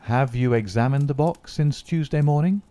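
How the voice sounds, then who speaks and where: A man voicing a cool, confident male detective who speaks in a calm, dry, understated way. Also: mid-range voice, normal speed, dry recording, pitched above the performer's own voice, natural voice.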